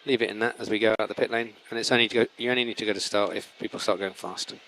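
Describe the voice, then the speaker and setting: Brief: male race engineer on headset radio, low-ish voice, brisk and procedural, faint noise behind. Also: poor audio. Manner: calm, procedural, repeats key words. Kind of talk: team radio instructions.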